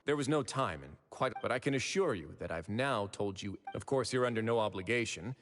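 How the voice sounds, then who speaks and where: A calculating video game investigator with a low voice. He is measured, courteous and wry, heard in long reverb with some noise behind him. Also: expressive, a bit bright. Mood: courteous, composed. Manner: measured, courteous, self-assured. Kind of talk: video game character acting.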